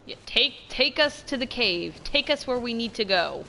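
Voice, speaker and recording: A woman's voice: a little high, lively intonation, normal speed, creaky, sharp tone, a little hiss or hum. A woman giving casual let's play commentary, thinking aloud in informal, slangy speech.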